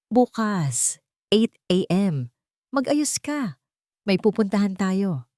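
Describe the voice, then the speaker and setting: A woman's voice: medium pitch, big pitch swings, slow, mellow, no room sound, studio quality. A woman voicing a proud, guarded young male employer in an audio love story, terse and restrained. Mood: curt.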